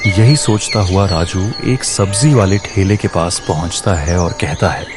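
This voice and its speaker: A man narrating a children's cartoon moral story in a steady storybook manner. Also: low-pitched, measured pace, over music.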